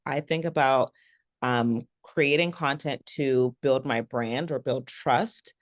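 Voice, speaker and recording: A woman business coach speaking as a podcast guest, enthusiastic and animated, her voice a little raspy after a long event. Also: very deep voice, no echo, clean studio sound. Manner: animated, enthusiastic, quick to explain. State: slightly raspy after a three-day event. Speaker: guest, personal branding coach. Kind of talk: podcast interview conversation.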